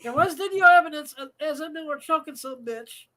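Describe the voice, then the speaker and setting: A man's voice: extremely high pitch, expressive, fairly quick, sharp tone. A man hosting a live show from home, talking in a rambling, profane way with many fillers, a slightly nasal voice and a dry throat. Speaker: host. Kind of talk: casual live show monologue.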